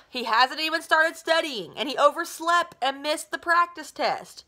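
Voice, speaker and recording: A woman's voice: sits high, a little slow, sharp tone, pitched above the performer's own voice. A woman voicing an earnest, naive teenage boy who talks in an anxious, insistent way, in a novel read-aloud. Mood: worried.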